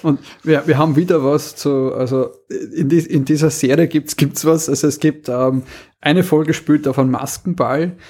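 A man's voice: on the high side, fairly even intonation, normal speed, a little mellow. A man co-hosting a casual podcast, often repeating and rephrasing as he goes. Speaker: podcast co-host.

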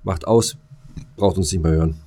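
Male podcast co-host with a notably low voice, blunt, opinionated, informal conversational delivery. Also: moderate pace, dark tone, husky. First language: German. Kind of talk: casual chat.

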